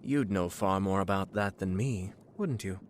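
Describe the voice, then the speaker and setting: A man voicing a composed, subtly sly young noble clan head in a video game, speaking in a measured, formal, polite way. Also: deep voice, slight background noise, natural voice. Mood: amused, polite. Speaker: game character voice. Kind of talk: scripted video game character monologue. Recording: studio voice with music behind. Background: game music.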